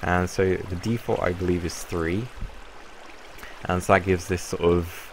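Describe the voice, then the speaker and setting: A young man with a croaky voice from a cold, casually explaining a game build in a rambling way. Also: sits low, measured pace, noisy background. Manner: relaxed, rambling explanation with fillers. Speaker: Minecraft YouTuber. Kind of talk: casual gameplay tutorial.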